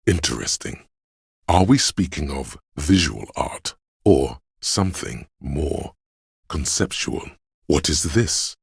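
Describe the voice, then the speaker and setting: A man voicing a detective's pretentious, arty inner voice for a video game, theatrical and deliberate, with a gravelly, husky tone. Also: extremely low pitch, very animated, no echo, studio quality, full character voice. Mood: pretentious, intrigued. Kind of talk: video game narration, second-person commentary.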